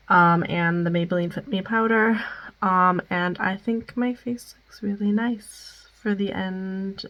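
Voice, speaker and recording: A woman's voice: on the low side, a bit bright. A young woman with a Midwestern American accent and a stuffed-up nose, chatting casually through a makeup wear-test vlog with many ums. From Midwestern United States. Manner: chatty, many ums, reads product details. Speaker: beauty vlogger.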